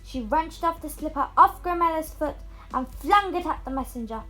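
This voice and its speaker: A female reader narrating a fairy tale aloud in an expressive, scripted storytelling style. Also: sits high, moderate pace, soft background music.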